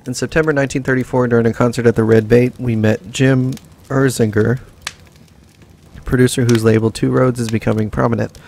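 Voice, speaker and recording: A let's play host talks in a mid-pitched, gravelly male voice. He reads in-game notes out slowly and evenly. He breaks it up with brief casual remarks over faint background noise. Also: little pitch movement, darkish tone. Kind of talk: casual gameplay commentary and reading notes aloud.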